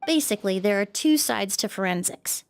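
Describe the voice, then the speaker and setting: A woman's voice: medium pitch, lively intonation, a little fast, creaky. A woman voicing a composed young perfumer and forensic expert in a video game, precise and explanatory. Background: game music.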